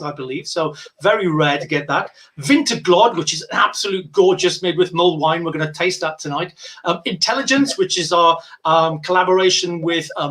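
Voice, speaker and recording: A man's voice: high-pitched, pushed and bright. A man presenting whisky deals in a chatty live stream, rambling with lots of fillers and restarts. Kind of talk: casual live-stream sales chat.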